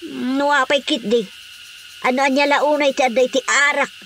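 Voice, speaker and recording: Village busybody played by a woman, high voice, northern Philippine accent, scolding and concerned, radio drama, faint crickets behind. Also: flat delivery, normal speed, a bit bright. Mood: gossipy, concerned. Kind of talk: radio drama comedy acting. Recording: faint cricket-like background noise.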